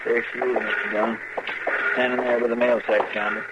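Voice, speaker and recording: An actor playing a folksy, fretful deputy sidekick with a in a 1950s radio drama. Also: male voice, medium pitch, flat delivery, a little fast, loud music behind the voice, lightly acted. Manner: colloquial, complaining, deferential. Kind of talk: 1950s radio western acting. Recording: very noisy, muffled old radio recording.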